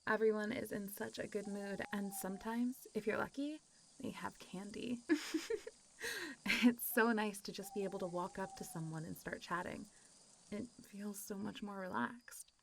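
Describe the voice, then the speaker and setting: A woman's voice: mid-range voice, steady tone, moderate pace, creaky, a little hiss or hum, lightly acted, poor audio. A woman playing a friendly, playful centuries-old zombie girl in a roleplay audio, chatting and teasing as if to one listener. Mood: friendly, chatty. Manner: chatty, teasing, frequent giggles and sighs, hesitant asides. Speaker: voice actor.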